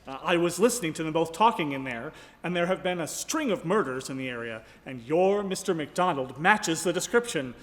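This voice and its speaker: An earnest young adventurer played by a man in a live radio melodrama, dramatic and suspicious. The voice is high and very lively in pitch, ringing in a reverberant hall. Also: a little fast. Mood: urgent. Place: live stage in a ballroom.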